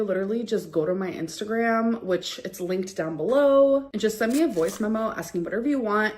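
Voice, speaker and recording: A woman's voice: medium pitch, a little mellow. A woman vlogger chatting casually and gushing to her viewers. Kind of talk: casual vlog chat.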